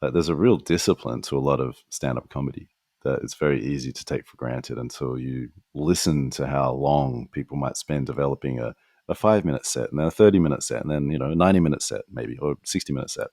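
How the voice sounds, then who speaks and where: Male podcast host, very deep voice, dry sound, reflective and self-critical. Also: darkish tone, no room sound. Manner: reflective, self-critical, quick asides and restarts. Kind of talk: conversational interview answers. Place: apartment in New York.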